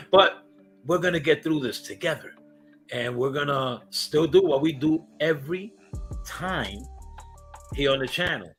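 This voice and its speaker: A man hosting a casual livestream, raspy and coughing, hyping up chat with sing-song shout-outs. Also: a little high, expressive, a little slow, long pauses. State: coughing fits. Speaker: host. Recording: loud hip hop music behind. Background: hip hop music.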